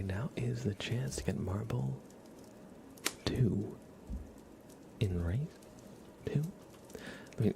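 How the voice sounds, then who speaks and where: Soft-spoken asmr guy rambling to his stream, gravelly voice, noticeable noise in the recording. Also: deep voice. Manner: informal, chatty, rambling. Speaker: ASMR livestream host. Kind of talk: ASMR livestream chat, soft-spoken to whispered.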